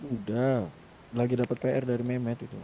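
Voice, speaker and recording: A man's voice: fairly even intonation, a little fast, mellow. A man bantering casually in a gaming voice chat, teasing and throwing in short interjections. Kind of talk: casual joking gamer banter. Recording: faintly noisy game voice chat, low rumble.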